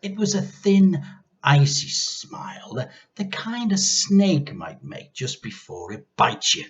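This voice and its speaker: Slow, highly expressive male storyteller. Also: lo-fi sound. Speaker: teacher reading a story aloud. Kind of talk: expressive children's storybook narration.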